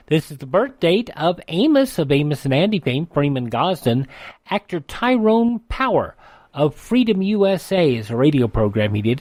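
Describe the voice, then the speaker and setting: An American man presents a radio history almanac in a fairly high-pitched voice. He narrates from a script and adds his own short asides. The sound is dry and close, with little room around it. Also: darkish tone, dry recording. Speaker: radio host.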